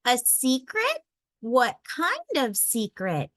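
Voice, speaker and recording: Woman voicing a high pleading little raccoon boy. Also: wildly swooping pitch, slow pace, fairly bright tone, full character voice. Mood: curious. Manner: plaintive, childlike.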